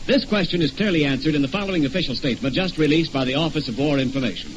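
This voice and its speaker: A man's voice: fairly high pitch, racing. A man reading a formal scripted announcement in 1940s radio style. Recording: muffled, faintly noisy old radio broadcast.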